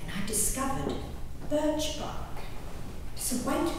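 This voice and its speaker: An actress plays a spirited, genteel colonial lady performing her diary on stage. Her voice is fairly high and very animated, with prim, old-fashioned phrasing and dramatic pauses. It carries through a strongly reverberant hall. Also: exaggerated voice. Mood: fond, contented. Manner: prim, emphatic, savoring pauses, old-fashioned formal diction. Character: genteel, spirited, haughty English colonial gentlewoman diarist. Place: indoor hall, live performance recorded from a distance. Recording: roomy echoing hall, distant mic, faint noise.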